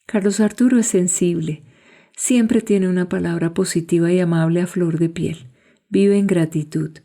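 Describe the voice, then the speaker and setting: A woman's voice: fairly low pitch, steady tone, normal speed, warm tone. A woman, reading scripted podcast narration as host. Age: 60s. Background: guitar music.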